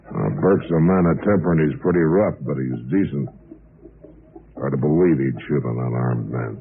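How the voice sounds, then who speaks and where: An American man playing a watchful, steady, middle-aged frontier marshal in an old radio western, with a dry, authoritative manner. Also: rumbling low, normal speed, vocal fry. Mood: serious, measured. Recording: muffled, noisy old radio transcription.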